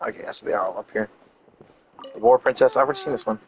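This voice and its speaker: A man's voice: on the low side, quick pace, poor audio. A man giving casual, off-the-cuff gameplay commentary, rambling and thinking aloud as a streamer. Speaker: game streamer.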